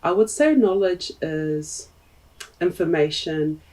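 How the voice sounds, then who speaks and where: Slow, thoughtful New woman. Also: lowish voice, a little mellow, far from the mic. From New Zealand. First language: Tongan. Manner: thoughtful, pausing often with fillers. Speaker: podcast guest. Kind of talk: reflective interview talk.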